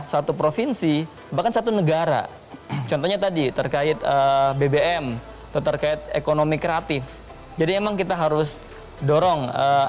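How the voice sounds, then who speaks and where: Measured young man making his points. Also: a little high, darkish tone. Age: young adult. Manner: measured, lays out points in order. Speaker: talk show guest. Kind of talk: studio panel discussion.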